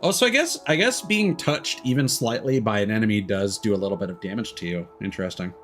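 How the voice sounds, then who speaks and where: A man's voice: lowish voice, lively intonation, quick pace, fairly bright tone, soft background music. A man giving casual gameplay commentary as a streamer, with joking, irreverent asides. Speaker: video game streamer.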